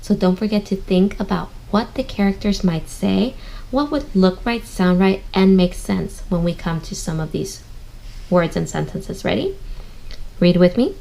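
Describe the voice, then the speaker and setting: A woman leads a children's reading lesson as a teacher. Her mid-pitched voice has noticeable vocal fry. She speaks straight to her young listeners and reasons out loud as she instructs. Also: unhurried, poor audio. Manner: instructive, addresses listeners directly, thinks aloud.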